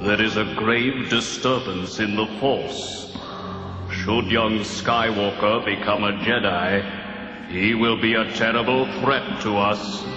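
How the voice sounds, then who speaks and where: A sinister galactic emperor, slow and solemn. His ominous words hang in long cavernous reverb, a dull storybook recording with music underneath. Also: fairly low pitch, steady tone. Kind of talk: dramatized storybook read-along.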